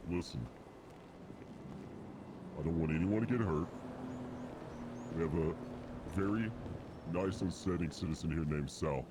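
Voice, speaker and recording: A man voicing a menacing male robber with a very deep put-on voice, negotiating slowly and deliberately. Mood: calm menace. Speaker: roleplayer. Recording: noisy game audio behind.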